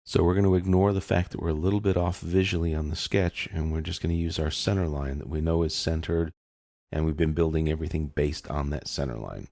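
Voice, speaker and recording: A man talks through a software lesson in a very low voice. There is plenty of fry in it, and the sound is dry and close. He explains each step informally, as an instructor would. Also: steady tone, a little fast, no echo. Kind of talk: step-by-step screencast lesson, casual.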